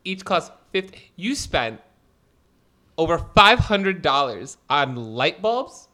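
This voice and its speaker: A man's voice: on the high side, big pitch swings, takes it slowly, bright, forceful tone. A man co-hosting a casual podcast, teasing his friend and laughing often. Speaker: podcast co-host. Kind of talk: casual chat.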